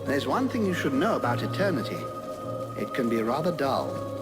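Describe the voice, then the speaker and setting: An actor playing a flamboyant, bored immortal undead spirit in a video game, with a droll, theatrical delivery. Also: male voice, medium pitch, sing-song, moderate pace, loud music behind the voice, close to an everyday voice. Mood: droll, amused.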